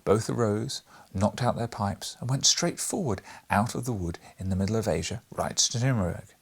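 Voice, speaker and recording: Guy reading a classic storybook aloud, really animated, bit gravelly and husky. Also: on the low side, normal speed. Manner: expressive, theatrical storyteller. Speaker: audiobook reader. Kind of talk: scripted storybook narration.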